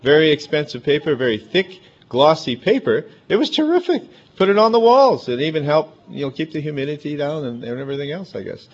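A man's voice: fairly high pitch, big pitch swings, a little fast. A man with a big, emphatic voice, preaching a chatty, conversational sermon full of asides. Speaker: pastor. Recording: dull lo-fi recording.

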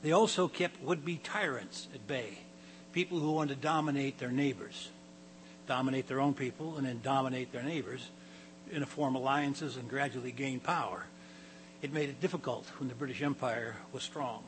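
An older man with a raspy voice, a pastor giving a halting sermon to his congregation, often clearing his throat and repeating words. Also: mid-range voice, slight background noise, low-quality recording. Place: church hall.

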